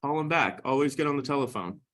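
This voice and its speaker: A man's voice: medium pitch, expressive, fast talker, a bit bright. A man speaking as an interview guest and founder, in a casual, conversational and emphatic way.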